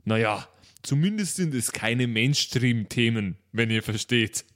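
A man's voice: mid-range voice, expressive, measured pace, a bit bright, voice pushed down. A man playing an earnest esoteric woman blogger, reading aloud with mock solemnity in a comedy podcast. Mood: earnest, musing. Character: earnest esoteric drum-shop woman reading her musing blog.

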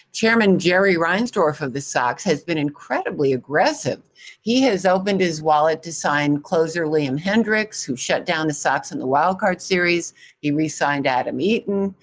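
A woman reporter putting prepared interview questions in a deliberate, declarative, newsy way. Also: low-pitched.

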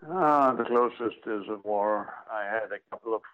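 An older man with an Israeli accent, a psychology professor, answering reflectively with dry humor and a slow, soothing way of speaking, from his home workroom. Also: on the low side, fairly bright tone. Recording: tinny phone-line audio.

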